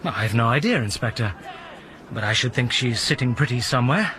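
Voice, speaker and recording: An actor playing a former schoolteacher answering a police inspector with frank, rueful candor. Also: male voice, medium pitch, expressive, brisk, a bit bright, a little hiss or hum, natural voice.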